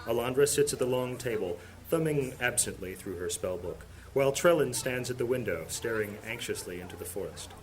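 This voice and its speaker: A man narrating a fantasy audio drama in a measured, dramatic storytelling style. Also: mid-range voice, lively intonation, slight background noise, rough recording.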